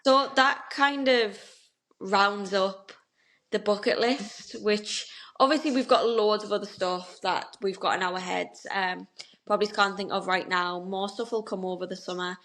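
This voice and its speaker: A woman's voice: medium pitch, measured pace, a bit bright, poor audio. A young woman, co-hosting a podcast in casual, bubbly chat. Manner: chatty, bubbly, gushing. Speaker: podcast co-host.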